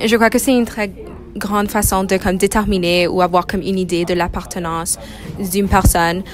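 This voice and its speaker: Teen girl student, slight Spanish accent, tentative with ums; mid pitch. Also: flat delivery, moderate pace. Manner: tentative, with "um" and "comme". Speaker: student in a class discussion. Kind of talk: classroom round-table discussion.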